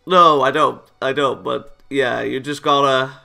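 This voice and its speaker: Bright, gravelly man narrating a visual novel. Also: mid-range voice, steady tone, measured pace. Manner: playful, exclaiming, breaks into asides. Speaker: gaming YouTuber reading a visual novel aloud. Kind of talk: animated let's play reading with joking asides. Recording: music behind the voice. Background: visual novel game music.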